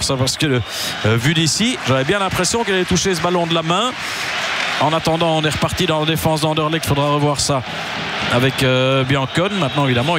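Halting football commentator. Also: male voice. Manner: halting, frequent "euh" fillers, rising pitch on attacks. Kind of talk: live play-by-play commentary. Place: commentary position in a stadium. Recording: commentary over clear stadium noise.

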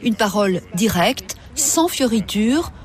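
A woman narrating a documentary in a measured, poetic way. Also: on the high side, lively intonation.

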